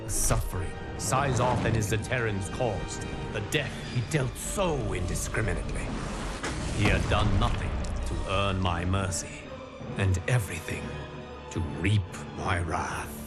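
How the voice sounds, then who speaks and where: Man acting a grave, slow-spoken guardian of timelines. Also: fairly low pitch, close to an everyday voice. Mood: cold, resolute. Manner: grave, formal, measured storytelling. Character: solemn, stern male guardian of timelines, unforgiving. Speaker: story narrator in a fighting video game. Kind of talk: scripted dramatic narration. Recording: clear music under the voice. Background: background music.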